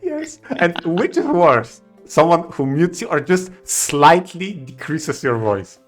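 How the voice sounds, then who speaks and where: A man's voice: on the high side, sing-song, slow, faint music behind. A man co-hosting a podcast in casual banter, giving opinions in an emphatic, playfully stubborn way. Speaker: podcast co-host.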